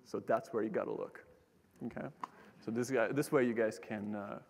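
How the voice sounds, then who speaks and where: A man's voice: fairly low pitch, fairly even intonation, normal speed, darkish tone. A young man giving a casual public science talk from a lecture hall stage, joking and restarting his sentences with many fillers. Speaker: keynote speaker, astronomer. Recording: long hall reverb.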